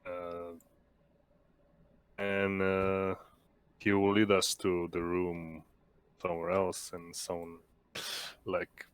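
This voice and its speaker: Slow, low-voiced man playing a tabletop game. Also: completely flat delivery, clean tone, no echo. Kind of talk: casual game-table chat.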